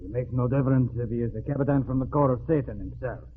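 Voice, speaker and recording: A man's voice: medium pitch, fast talker, lightly acted. A man acts out a proud Mexican general in a vintage radio drama. He is boastful and declamatory, sure of his command. The recording is narrow and tinny, with a light bed of noise. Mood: stubborn resolve. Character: proud, confident Mexican general besieging a fort. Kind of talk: old-time radio acting.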